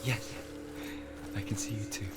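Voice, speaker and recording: A man playing a gentle, caring young warrior companion, soft and reassuring, in a video game drama. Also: takes it slowly, loud music behind the voice, close to an everyday voice. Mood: tender.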